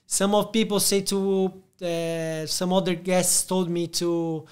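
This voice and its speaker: Brazilian male podcast host, high voice, Portuguese-accented English, stop-start delivery. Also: flat delivery. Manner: halting, restarts often. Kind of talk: casual interview.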